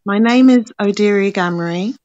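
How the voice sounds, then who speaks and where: A woman in her fifties from the UK, answering interview questions in measured, short bursts. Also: a crowd in the background. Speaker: interview guest. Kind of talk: casual spoken answers. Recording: dull, dry low-fidelity recording.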